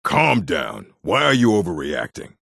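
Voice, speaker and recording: Very deep gravelly gruff orc. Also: male voice, wildly swooping pitch, a little slow, a bit bright, no echo, studio quality, full character voice. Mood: dry, irritable. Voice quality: gravelly, husky. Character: gruff male orc, stern bookish keeper and warrior. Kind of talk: video game character voice acting.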